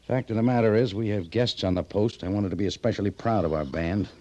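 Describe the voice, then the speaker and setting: A gruff cavalry officer played by a man with a mellow, lowish American voice. He speaks stiffly and haltingly, in old radio Western style. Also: lo-fi sound, natural voice. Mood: vexed.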